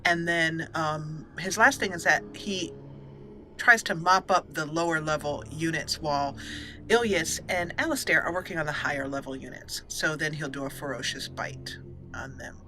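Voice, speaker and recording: Bright-voiced woman doing chatty game commentary. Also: sits low, normal speed, soft background music. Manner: chatty, giggly, explains step by step. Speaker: gaming channel host. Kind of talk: casual let's play commentary.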